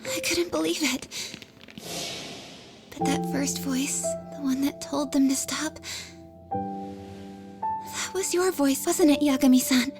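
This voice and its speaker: A high, youthful voice of a bullied schoolgirl in a video game drama. She is hesitant and earnest, with instrumental music clearly behind her. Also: slow, long pauses. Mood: hesitant, wondering. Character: shy, bullied teenage schoolgirl, earnest and vulnerable. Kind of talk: English video game dub acting. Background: instrumental background music.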